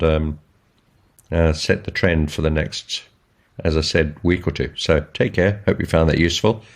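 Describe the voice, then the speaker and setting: A man giving informal, explanatory market commentary as a trading educator, in a measured, step-by-step way. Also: low-pitched. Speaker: trading educator, channel host.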